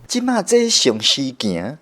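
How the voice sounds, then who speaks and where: A man's voice: mid-range voice, slow pace, dry recording. A man narrating a radio drama story as the show's host. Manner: lively storytelling.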